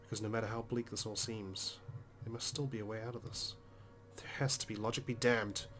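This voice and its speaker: A man's voice: fairly low pitch, level tone, fairly quick, a bit bright. A man reading first-person visual novel narration aloud as a dramatic story. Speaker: let's play host reading the game's text. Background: game music.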